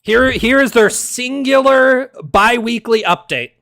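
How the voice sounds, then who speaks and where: A man streaming and ranting casually, heated and exasperated, swearing often, his voice going hoarse. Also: extremely high pitch, slow pace, sharp tone, no echo, studio quality. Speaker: game streamer. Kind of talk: casual livestream rant.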